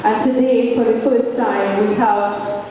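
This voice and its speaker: A woman, welcoming an audience at a festival in a measured way with fillers and asides. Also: a little high, steady tone, dark tone. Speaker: event organizer giving welcome speech. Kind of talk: public welcome address. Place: large echoey hall. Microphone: distant microphone. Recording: echoey hall, far mic, noisy.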